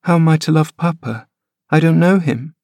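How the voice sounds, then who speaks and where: A man's voice: a little high, normal speed, a little mellow, no room sound, clean studio sound, voice pushed up, lightly acted. A man reading aloud, voicing a fretful, sickly young boy who asks plaintive questions.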